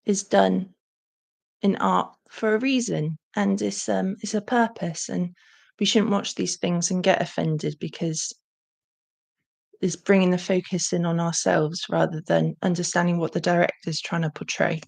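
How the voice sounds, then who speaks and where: Croaky woman chatting on a livestream. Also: fairly low pitch, a little slow. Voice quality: croaky, gravelly. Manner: chatty, warm thanks, frequent ums. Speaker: channel host. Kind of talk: casual livestream Q&A chat.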